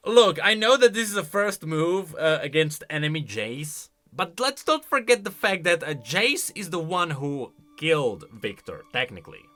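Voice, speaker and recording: A man's voice: a little high, big pitch swings, normal speed, few pauses, fairly bright tone. A man giving chatty, informal, opinionated reaction commentary on video game lore.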